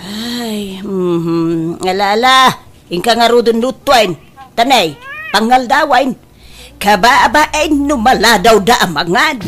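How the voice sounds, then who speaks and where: A woman's voice: on the high side, animated, slow pace, fairly bright tone. An actress playing a blunt, scolding middle-aged village mother in an Ilocano radio drama. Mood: exasperated.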